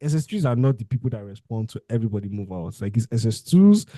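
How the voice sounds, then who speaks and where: A man's voice: fairly low pitch, very animated, quick pace, dark tone, no echo, studio quality. A young man hosting a podcast, asking hesitant, circling questions full of "like" and "um". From Nigeria. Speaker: podcast host. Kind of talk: casual conversational interview.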